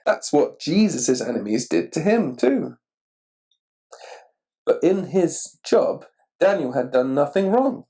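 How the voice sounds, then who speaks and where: A man reading a children's picture book aloud expressively and deliberately, putting questions to the listener. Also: a little high, very animated, creaky, warm tone. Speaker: story reader.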